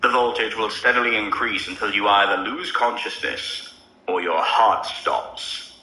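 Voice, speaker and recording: A man voicing a calm, faintly mocking male prisoner who speaks in a measured way. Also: on the low side, roomy sound, a little hiss or hum, rough recording. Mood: calm warning.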